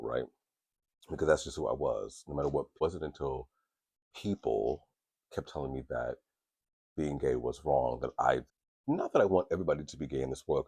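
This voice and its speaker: A man with an extremely low, deep voice that carries a husky, grainy edge. He talks frankly into a podcast microphone, rambling and restarting, and swears freely. The sound is close and dry, with no room around it. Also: a little mellow, dry recording. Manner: candid, profane and rambling, with many restarts. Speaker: podcast host. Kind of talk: casual podcast talk.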